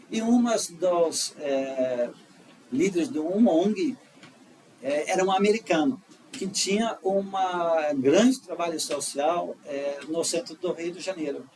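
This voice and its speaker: A middle-aged man with a Dutch accent, a guest telling his life story in a casual, halting way, full of restarts and fillers. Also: a little high, darkish tone. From Netherlands. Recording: slightly distant mic with faint noise.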